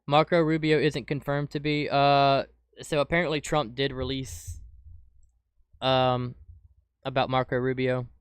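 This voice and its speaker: A man hosting a casual podcast and reacting to news clips. He is excitable, says "dude" a lot, and is losing his voice from a cold. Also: mid-range voice, unhurried, clean tone, dry recording. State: losing his voice, getting sick. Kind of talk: casual commentary over clips. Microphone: close desk mic.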